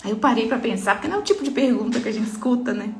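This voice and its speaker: A woman's voice: fairly high pitch, racing. A woman with a Brazilian Portuguese accent, a mentor giving an inspirational faith talk in an earnest, emphatic way, speaking straight to her listeners. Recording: echoey room with faint music.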